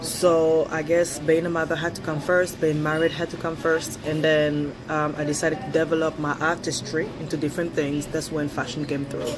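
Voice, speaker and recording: A woman's voice: low voice, level tone, normal speed, few pauses, crowd noise, poor audio. Thirty-something woman from Switzerland, Swiss French accent with a British tinge, French native speaker; a blunt, laughing, foul-mouthed vlog host. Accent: Swiss French, with a touch of British. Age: 30s. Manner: blunt, chatty, laughs often, swears freely. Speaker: vlogger. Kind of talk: casual opinion vlog.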